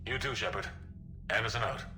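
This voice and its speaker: An actor playing a steadfast, grave senior military officer in a video game, clipped and businesslike. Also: male voice, on the low side, brisk, sharp tone, faint music behind. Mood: grave, determined. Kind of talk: cinematic video game dialogue acting.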